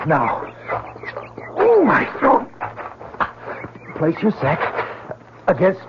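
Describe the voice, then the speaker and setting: An actor playing an ailing, urgent spy who poses as a doctor, with halting, breathless, repetitive speech in old-time radio drama style. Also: male voice, high-pitched, wildly swooping pitch, heavily acted. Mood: choking, desperate. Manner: halting, breathless, repeating words. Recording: muffled noisy old radio recording.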